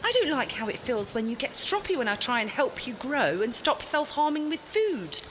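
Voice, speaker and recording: High, animated, demanding girlfriend played by a woman. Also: moderate pace, a little hiss or hum, low-quality recording. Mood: insistent, defensive. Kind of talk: audio drama dialogue.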